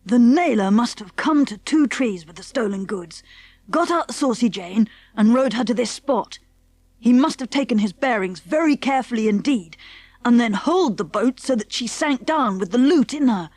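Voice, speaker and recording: A woman voicing a confident, eager schoolboy adventurer with an English accent and hearty, brisk diction for a children's audiobook. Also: on the high side, animated, a little mellow, dry recording. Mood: pleased, excited. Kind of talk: children's audiobook character acting.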